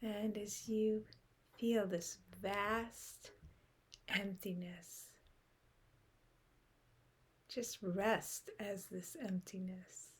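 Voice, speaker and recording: A woman guiding a meditation in a soft, unhurried way with long pauses, her breathy voice often trailing off into a whisper. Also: mid-range voice, little pitch movement. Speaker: meditation guide. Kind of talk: guided meditation.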